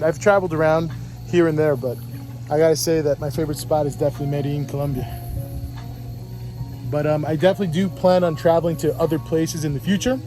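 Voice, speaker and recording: A man with a Puerto Rican accent talks into a handheld camera, outdoors by a pool. His voice sits a bit high for a man, and he rambles, often restarting his sentences. His tone is loose and chatty, like a travel vlog. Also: mellow, poor audio. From Puerto Rico. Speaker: travel vlogger.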